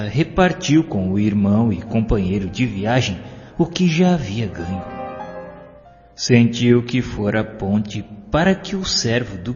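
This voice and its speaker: A man's voice: lowish voice, darkish tone, dull audio. Solemn man narrating in a long hall reverb. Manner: solemn, declamatory, with drawn-out phrasing. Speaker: audiobook narrator. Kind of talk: scripted devotional narration with poetry recitation. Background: clear music.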